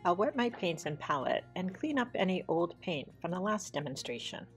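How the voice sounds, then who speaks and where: A woman teaching watercolor painting, explaining each step in a measured, plain voice-over. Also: fairly low pitch, very animated. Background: soft guitar music.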